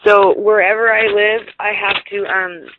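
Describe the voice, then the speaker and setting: A woman's voice: mid-range voice, steady tone, measured pace, slight background noise. A young woman talking earnestly in a phone conversation, over a slightly muffled line. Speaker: prank call recipient.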